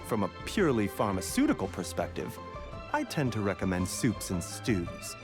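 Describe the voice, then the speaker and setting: An actor playing a gentle, scholarly, long-winded herbal pharmacist who speaks politely and formally in a fantasy game. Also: male voice, lowish voice, wildly swooping pitch, normal speed, music in the background. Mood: earnest, apologetic. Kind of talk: anime fantasy game voice acting.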